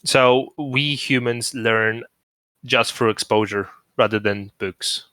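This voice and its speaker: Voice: mid-range voice, slow, bright, forceful tone, no room sound. A podcast co-host chatting casually about languages, opinionated and laughing easily. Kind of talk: casual chat.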